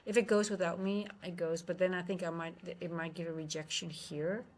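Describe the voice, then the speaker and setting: A woman with a Dutch accent, a native Dutch speaker, hosting a live trading stream, thinking aloud in halting, casual commentary. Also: lowish voice, fairly even intonation. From Netherlands.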